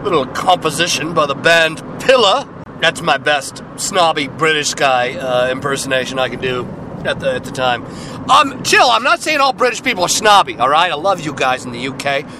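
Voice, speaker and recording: Hoarse, raspy man rambling on a podcast. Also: fairly high pitch, sing-song, normal speed, noisy background. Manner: joking, loose, self-deprecating. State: hoarse, getting over a cold. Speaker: podcast host. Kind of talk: casual rambling monologue.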